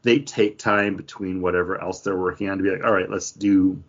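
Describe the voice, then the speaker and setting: Male podcast co-host, low and raspy register, rambling conversational review style, dull lo-fi audio. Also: fairly even intonation, brisk, a bit bright. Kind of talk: casual chat, book review.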